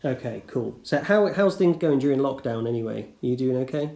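Guy hosting a chatty podcast from home, mellow tone, lots of ums, echoey room. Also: mid-range voice, lively intonation, fairly quick, gravelly. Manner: chatty, many fillers and restarts. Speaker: podcast host. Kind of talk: casual interview chat. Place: living room. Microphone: remote call recording.

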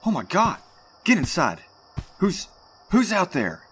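A woman's voice: fairly low pitch, wildly swooping pitch, a little slow, slight background noise. A woman playing a nervous but brave friend in an audio horror play. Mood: alarmed.

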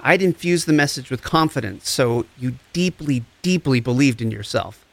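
An actor playing a gentle, encouraging empath therapist, speaking in a reassuring, deliberate way in a scripted audio drama. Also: male voice, medium pitch, expressive, vocal fry. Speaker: actor in a fiction podcast.

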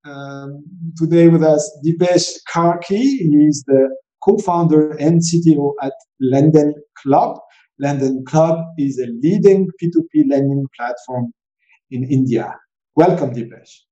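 A man hosting a podcast interview, with a lively, upbeat delivery that lifts sharply in pitch. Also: unhurried, lo-fi sound. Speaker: podcast host. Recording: roomy echo with faint music.

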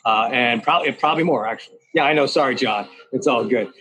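Male panel host, mid-pitched, easygoing, full of "uh" and "you know". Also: fast talker, breathy edge. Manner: relaxed, many "uh" and "you know" fillers. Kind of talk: hosting a panel discussion.

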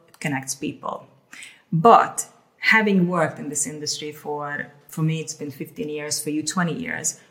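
A woman's voice: low-pitched, rough recording. A middle-aged woman from Hungary, co-hosting a casual conversation and often repeating words as she thinks aloud. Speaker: co-host.

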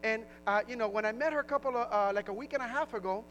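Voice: medium pitch, fast talker, fairly bright tone, faint music behind. A pastor from Puerto Rico with a New Jersey accent, preaching a sermon in a conversational way, often restarting phrases and rising into emphasis.

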